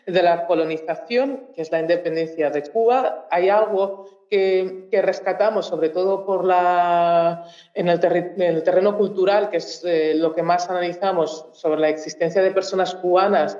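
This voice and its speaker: A woman from Mozambique whose first language is Portuguese speaks at a conference in a university auditorium. She searches for her words, pausing and restarting often as she thinks aloud. The sound is roomy and echoing, a bit muffled and dull. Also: lowish voice, fairly even intonation, warm tone. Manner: hesitant, thinks aloud with frequent pauses and restarts. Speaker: researcher and panel speaker. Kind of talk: public talk at a conference.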